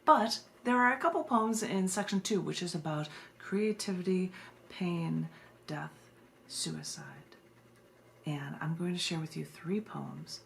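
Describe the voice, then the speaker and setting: A woman from the United States speaking as a poet, moving between informal, excitable introductions and deliberate, dramatic readings of her own verse. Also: deep voice, creaky, a little hiss or hum.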